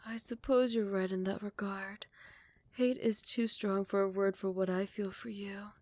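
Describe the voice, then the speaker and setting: A woman voicing a proud vampire royal smitten with a rival, in soft, formal, measured speech that often slips toward a whisper, for a romantic ASMR roleplay. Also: medium pitch, little pitch movement, no echo, natural voice. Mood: earnest, admiring. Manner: formal, archaic, measured courtly phrasing. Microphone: close microphone, dry.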